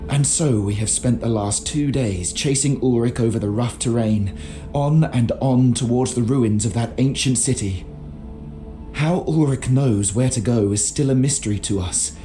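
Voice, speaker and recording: An actor playing a solemn medieval chronicler narrating a game's campaign journal in a grave, measured way. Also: male voice, mid-range voice, warm tone, natural voice. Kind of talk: scripted dramatic game narration. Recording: music behind narration.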